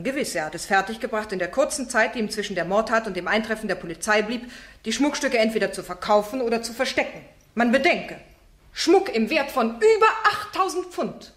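An actress playing an ironic, insistent defence barrister in a radio play, speaking formally and rhetorically. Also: female voice, medium pitch, fairly bright tone, natural voice. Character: sharp, eloquent female defence barrister, probing and ironic. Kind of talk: German radio play acting.

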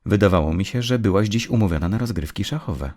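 A man voicing a polite, controlling middle-aged mother with a courteous, discouraging manner in a dark audio story. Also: on the low side, animated, fast, pitched above the performer's own voice. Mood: politely dissuading.

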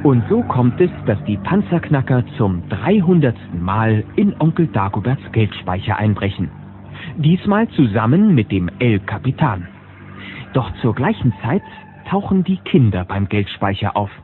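A man's voice: medium pitch, a little slow, phone-quality sound. A man narrating a children's audio drama as a storyteller, speaking to the listeners from a script. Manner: animated storyteller addressing listeners. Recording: band-limited old cassette with music.

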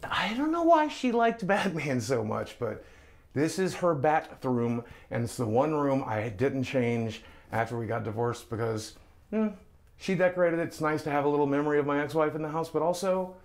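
A man's voice: fairly high pitch, animated, moderate pace. A middle-aged man talking casually and candidly to camera, in a self-deprecating way, as he shows people around a house. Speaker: online video creator giving a house tour. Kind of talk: casual talk to camera, walking through a house. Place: rooms of a house, indoors. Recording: music playing behind the voice. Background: background music.

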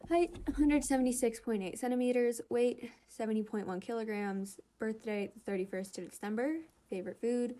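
Young woman, higher-pitched voice, casual comic narrator, gushing, giggly, informal delivery. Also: normal speed, few pauses, mellow. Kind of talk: casual commentary and reading of fan comics.

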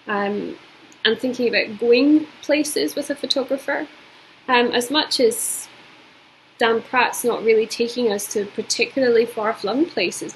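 A woman in her forties from Scotland with a Scottish accent, giving a measured, reflective recorded art lesson from home, with frequent ums. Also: on the high side, slight background noise, poor audio. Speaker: art teacher. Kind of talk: recorded video lecture. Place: indoors at home.

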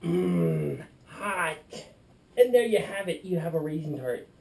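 A man's voice: a little high, wildly swooping pitch, slow, a little mellow. Drunk rambling man in a kitchen. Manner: rambling, silly, playful. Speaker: vlogger. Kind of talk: drunken cooking demo vlog. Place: small home kitchen. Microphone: camera across the room.

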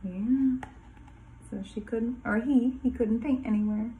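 A woman kindergarten teacher reading a rhyming picture book aloud to young children in a sing-song way, pausing dramatically before rhymes and asking questions. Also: fairly high pitch, fairly even intonation, phone-quality sound, slight background noise.

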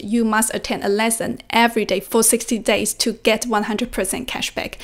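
A young woman from China, a native Chinese speaker, giving instructional advice to camera on a close desk microphone. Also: a little high. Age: young adult. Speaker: language-learning video host. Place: quiet room at home. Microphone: close desk microphone with pop filter.

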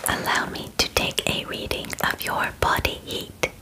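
Calm, clinical female alien examiner, played by a young woman: ASMR roleplay, whispered, very slow, soft and close-miked. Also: close to an everyday voice. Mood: calm, soothing. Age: 20s. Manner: slow, soft, formal, measured instructions. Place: small bedroom, indoors. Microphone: close mic, just in front of her.

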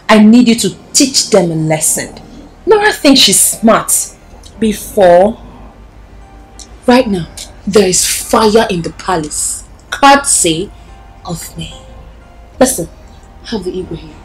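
A woman's voice: medium pitch, animated, takes it slowly, long pauses, soft background music, rough recording. An actress playing a young woman who pleads tearfully in a family drama. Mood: scheming.

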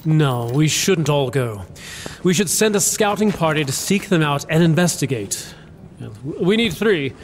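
A man's voice: on the high side, animated, measured pace, slight background noise. A man playing an earnest leader in a fantasy audio drama, deliberating with some hesitation. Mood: earnest, decisive. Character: earnest male leader organising a war council.

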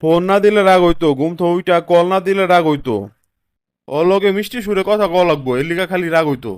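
Henpecked cartoon husband male actor: high-pitched, crisp, Bangladeshi accent, grumbling and fed up. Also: fast talker, no room sound, close to an everyday voice. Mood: aggrieved. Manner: aggrieved, grumbling. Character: exasperated, complaining young husband, henpecked. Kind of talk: comic cartoon dubbing.

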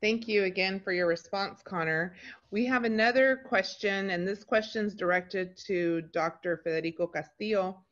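A woman moderating a panel on a video call, polite and measured. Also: mid-range voice, fairly bright tone. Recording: dull lo-fi video call audio.

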